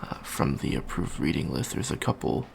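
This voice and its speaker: Gravelly, awkward young alien student voiced by a man. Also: extremely low pitch, sing-song, moderate pace, slight background noise, exaggerated voice. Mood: awkward, chatty. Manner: hesitant, stammering, awkward small talk. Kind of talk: improvised ASMR roleplay, casual chat.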